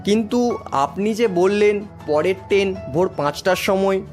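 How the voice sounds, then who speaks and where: Sceptical city office worker, higher-pitched male narrator acting. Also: unhurried, a little mellow, soft background music. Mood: puzzled.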